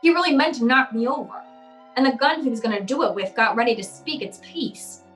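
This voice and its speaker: A hardboiled private detective acted by a woman with a fairly high voice, telling the case in wry street slang. The style is old-time radio crime drama, with music in the mix. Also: a little fast, fairly bright tone, natural voice. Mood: tense, wry. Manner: slangy, wry, tough-talking. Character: hardboiled wisecracking male private eye, narrating his own case.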